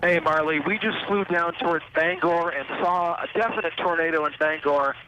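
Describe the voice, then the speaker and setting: A man's voice: fairly high pitch, fairly even intonation, moderate pace, sharp tone. A man, a meteorologist, giving a live eyewitness report by phone from an aircraft. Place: aboard an aircraft in flight. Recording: dull noisy phone line from aircraft.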